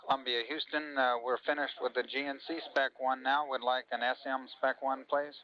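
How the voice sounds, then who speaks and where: A man's voice: mid-range voice, little pitch movement, brisk. A man speaking over a radio link as a mission control communicator, giving courteous, clipped procedural calls. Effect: radio transmission. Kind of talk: procedural spaceflight radio chatter. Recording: muffled lo-fi 1980s radio link.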